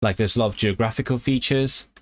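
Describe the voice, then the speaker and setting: A man's voice: mid-range voice, fast. A man, casually chatting on a livestream and answering viewers in a rambling way. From Hong Kong. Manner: rambling, with fillers and self-corrections. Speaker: language YouTuber on a livestream.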